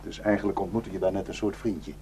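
A man's voice: deep voice, fairly even intonation, quick pace, mellow, slight background noise, natural voice. An actor in a radio drama playing a protective, suspicious, hot-tempered village herdsman and husband, scornful and impulsive. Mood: angry, threatening.

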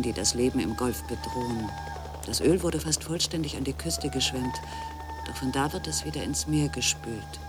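A man's voice: medium pitch, close to an everyday voice. A man playing a grave marine biologist and reporter, reading scripted narration in a radio documentary drama.

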